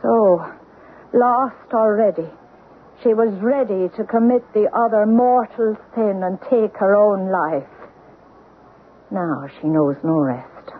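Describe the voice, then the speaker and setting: An actress playing a weary, solemn countrywoman telling grim secrets in an old-time radio horror play, with grave, deliberate delivery. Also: medium pitch. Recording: noisy, muffled vintage radio recording.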